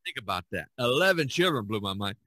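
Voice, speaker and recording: A man in his sixties hosting a casual podcast conversation, speaking haltingly and often repeating phrases. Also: on the low side, big pitch swings, bright, forceful tone, no room sound, studio quality. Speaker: podcast host.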